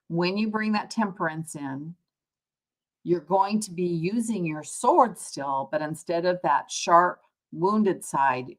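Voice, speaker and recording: Woman w a low voice doing a chatty tarot livestream, reflective, kinda rewords stuff as she explains. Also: rough recording. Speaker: tarot reader, livestream host. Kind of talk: casual live tarot reading, talking to viewers.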